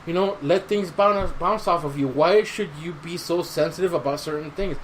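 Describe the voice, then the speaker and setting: A man's voice: on the high side, moderate pace, slight background noise. A man hosting a podcast in casual chat, swearing freely and giving his opinions in slangy talk. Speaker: podcast host.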